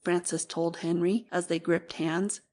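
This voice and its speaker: A woman's voice: lowish voice, little pitch movement, unhurried, a little mellow. A woman reading classic fiction as a scripted audiobook narrator.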